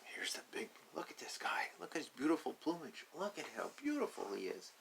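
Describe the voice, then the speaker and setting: A man's voice: on the high side, huge pitch swings, brisk, creaky, a bit bright, whispered. A man reading a picture book aloud to young children, explaining simply with repeated asides. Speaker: storybook reader.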